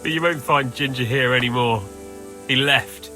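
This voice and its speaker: An actor playing a shaken, bitter male raid survivor in a video game, speaking haltingly. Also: mid-range voice, pushed and bright, faint music behind, rough recording. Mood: curt. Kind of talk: video game dialogue acting.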